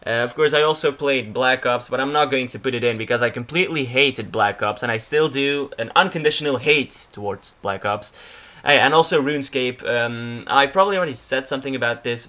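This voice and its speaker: A man's voice: mid-range voice, a little fast, pushed and bright. A young man from Belgium with a Flemish accent, a Dutch speaker talking in English, rambles casually through a slideshow about himself, full of restarts and fillers. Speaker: YouTuber. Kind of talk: casual vlog narrating a slideshow.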